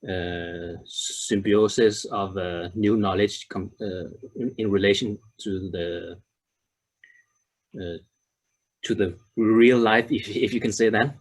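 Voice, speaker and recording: Man in his thirties, lowish voice, conference talk, halting with fillers and restarts. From Denmark. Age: 30s. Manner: hesitant, many fillers and restarts. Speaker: conference speaker. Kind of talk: presentation to a web audience.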